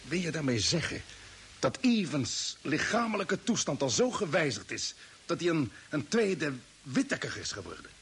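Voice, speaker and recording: An actor playing a questioning, sceptical spaceship commander in an old radio play, with halting repeated words. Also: male voice, on the high side, very animated, a bit bright. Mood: puzzled, probing. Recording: hissy vintage radio drama recording.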